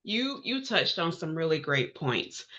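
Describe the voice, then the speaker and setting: A woman's voice: sits low, big pitch swings, a little slow, fairly bright tone. A woman from Georgia in the United States hosting a casual interview, speaking informally and affirming her guest. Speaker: interview host. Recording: dull lo-fi audio.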